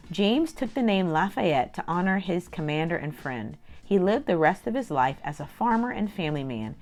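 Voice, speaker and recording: A woman with a slightly low voice reads aloud to young children. She is expressive and exclamatory, talking straight to her listeners as a storytime host. Faint music plays behind her.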